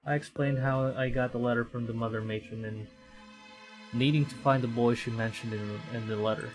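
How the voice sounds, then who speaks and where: Uneasy young heroine narrating a visual novel, read by a man, mid-pitched, light music behind. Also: fairly even intonation, fairly quick, lightly acted, rough recording. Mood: uneasy, subdued.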